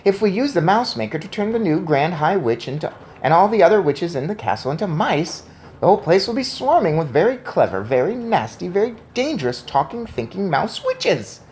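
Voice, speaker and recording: A woman voicing a clever, eager boy who is a talking mouse, in an excited, emphatic storybook reading. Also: lowish voice, fairly quick, a little hiss or hum, voice pushed up. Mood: worried. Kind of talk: storybook read-aloud.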